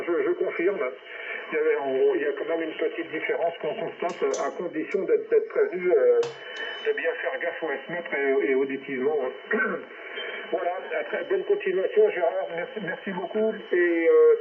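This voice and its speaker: Man on a noisy narrow-band radio. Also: on the high side. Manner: halting, often ends phrases with "hein". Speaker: amateur radio operator. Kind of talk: casual radio contact chat.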